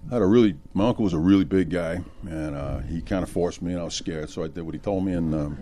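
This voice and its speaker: A man on a panel telling how he got into acting, modest and halting, with small chuckles. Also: low voice, warm tone, slight background noise. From United States. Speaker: actor on a panel.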